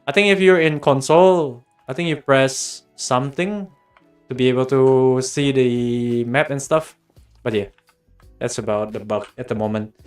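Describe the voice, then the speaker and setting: A man's voice: medium pitch, measured pace. A man from Australia hosting a livestream, chatting casually and directly with viewers as he gives game guidance. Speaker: livestream host and guide maker. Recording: music playing behind the voice. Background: background music.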